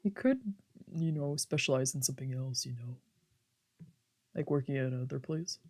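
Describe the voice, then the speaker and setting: A woman's voice: very deep voice, normal speed, darkish tone. A woman streamer reading a visual novel aloud in a playful, theatrical way with casual asides. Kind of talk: let's play read-aloud with casual asides.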